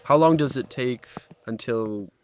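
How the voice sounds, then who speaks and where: A man's voice: mid-range voice, measured pace, a little mellow, close to an everyday voice. Man playing an anxious young guy, noisy background. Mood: uneasy, subdued. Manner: hesitant, earnest, easily shaken. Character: naive, anxious young man trapped in a nightmare world. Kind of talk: horror audio drama acting with first-person narration.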